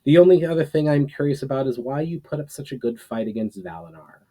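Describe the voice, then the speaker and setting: A man voicing a wise, mysterious man in a storybook, speaking calmly and formally as a knowing old friend. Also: mid-range voice, fast, close to an everyday voice. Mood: calm, thoughtful.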